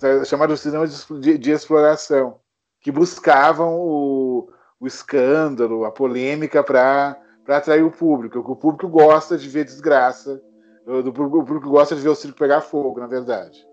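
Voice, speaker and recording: A man speaking as the invited expert, explaining in a halting way and often repeating words as he searches for them. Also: fairly high pitch, steady tone, darkish tone, muffled recording. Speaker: film genre expert guest. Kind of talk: casual explanatory chat.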